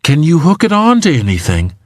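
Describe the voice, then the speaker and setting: An audiobook heroine leading a rescue, performed by a male narrator. Her lines are pitched up and lively, firm, urgent and encouraging. The sound is dry. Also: fairly quick, no room sound. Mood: urging. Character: steady, determined woman rescuer, reassuring under pressure. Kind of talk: audiobook character dialogue.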